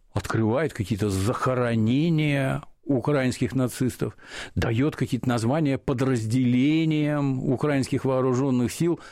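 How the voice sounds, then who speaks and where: A man's voice: mid-range voice, a little mellow, no room sound, clean studio sound. A man in a political talk, delivering his points with sardonic emphasis.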